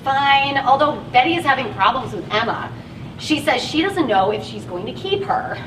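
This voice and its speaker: A woman's voice: sits high, lively intonation, moderate pace, fairly bright tone. An actress on stage playing a frustrated working woman who drives a van and feels stuck in her job. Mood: matter-of-fact. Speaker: actress in a one-act play. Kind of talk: live stage play acting. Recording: echoey, distant, noisy live stage recording.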